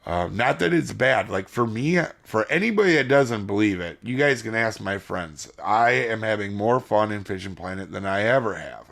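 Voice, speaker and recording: A man's voice: on the low side, gravelly. A man hosting a gaming channel, chatting casually to his viewers while losing his voice and rambling from point to point. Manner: rambling, addresses viewers as guys. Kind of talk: casual video commentary.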